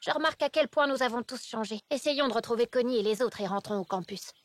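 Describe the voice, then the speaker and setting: A woman voicing an earnest, caring young girl in a French children's cartoon, with expressive delivery. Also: fairly high pitch, quick pace, close to an everyday voice. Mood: worried. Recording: music behind the voice.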